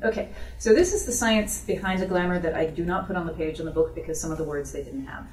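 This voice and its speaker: A woman's voice: fairly low pitch, fast talker, a little mellow, a little hiss or hum. A woman author answering audience questions at a book event in an informal, explanatory, conversational way. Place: bookstore event room. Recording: roomy echo, distant microphone.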